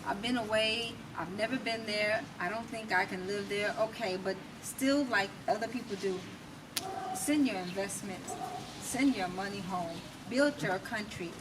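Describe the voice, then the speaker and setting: A woman's voice: mid-range voice, a little slow. American woman poet, distant noisy recording. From United States. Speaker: interview guest, poet and recording artist. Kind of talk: casual interview talk with spoken-word poetry recitation. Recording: noisy, distant mic with ambient sound.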